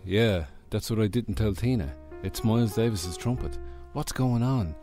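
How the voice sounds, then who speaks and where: A man's voice: on the low side, moderate pace, warm tone, faint music behind, lightly acted. A man playing a blustering, sceptical club boss in a comedy radio serial, dry and incredulous. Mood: determined.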